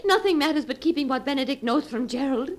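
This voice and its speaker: Anxious, high-voiced wife. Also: female voice, animated, fast talker. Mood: desperate. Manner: melodramatic, urgent, fearful. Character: anxious, secretive wife being blackmailed. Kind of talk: 1940s radio acting.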